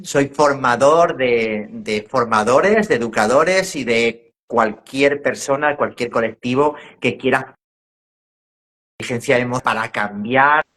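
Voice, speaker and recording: A man's voice: medium pitch, unhurried, fairly bright tone. A man in his fifties from La Mancha, a former teacher, talking reflectively as a guest in a casual video-call interview. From La Mancha, Spain. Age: 50s. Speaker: guest, educator and trainer.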